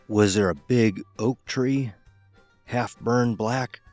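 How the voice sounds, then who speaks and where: A man's voice: mid-range voice, takes it slowly, darkish tone, dry recording, voice pushed up, natural voice. A man playing an anxious, insistent man warning someone by phone in an audio horror drama. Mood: probing. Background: music.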